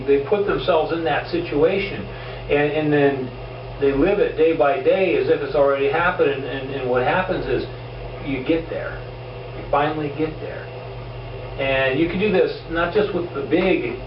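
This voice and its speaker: Hoarse man giving a motivational talk. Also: fairly high pitch. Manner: earnest, repeats words and restarts often. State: losing his voice, hoarse. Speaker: host of a self-improvement video show. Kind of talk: casual motivational monologue. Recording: roomy, distant, dull and noisy.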